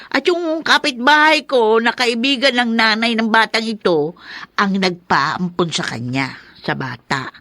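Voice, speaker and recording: A woman's voice: fairly high pitch, animated, a little slow, pushed and bright, no room sound. A woman acting an eager, gossipy, slightly evasive neighbour in a Filipino radio drama, with a Filipino accent. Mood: insistent.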